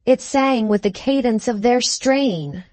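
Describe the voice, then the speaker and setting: A woman's voice: a little high, slow, a little mellow, dry recording, pitched above the performer's own voice. A woman voicing a fervent, visionary male scholar in an audiobook, speaking in solemn, lofty oratory. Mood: fervent, exalted. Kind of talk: literary audiobook character reading.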